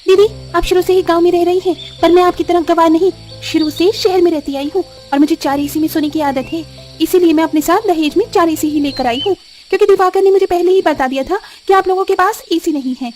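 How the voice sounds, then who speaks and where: Spoiled, scornful young city wife, played by a woman, very high voice, cartoon drama with music. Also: steady tone, quick pace, darkish tone. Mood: haughty. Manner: snobbish, scornful. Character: haughty, spoiled young city daughter-in-law. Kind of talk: acted cartoon story dialogue.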